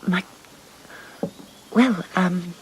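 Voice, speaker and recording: Prim, well-mannered spinster, low-pitched, radio play. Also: female voice, very slow, darkish tone, slight background noise, poor audio. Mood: tender. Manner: prim, formal, polite. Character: prim, proper spinster missionary woman. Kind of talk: radio drama acting.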